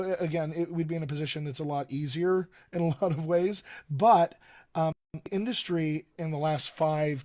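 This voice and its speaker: A man's voice: a little high, darkish tone. A man from Colorado with a general American accent, speaking as a dialect coach in an informal live teaching session, emphatic and repeating key points for stress. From Colorado, United States.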